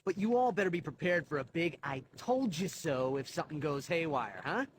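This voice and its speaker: A wisecracking, doubtful young hero played by a man in a video game cutscene. His fairly high voice bounces with casual sarcasm over slight background noise. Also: animated, a little fast, close to an everyday voice. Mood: skeptical.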